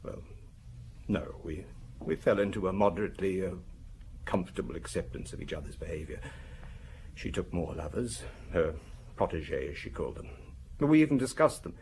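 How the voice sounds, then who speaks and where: Defensive, tired murder suspect for radio drama; hesitant, widely varying intonation, slightly noisy recording. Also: male voice, deep voice, lively intonation, moderate pace, long pauses, a little mellow. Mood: guarded. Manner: hesitant, explanatory, breaking off mid-sentence. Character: weary, defensive husband under police questioning over his wife's murder.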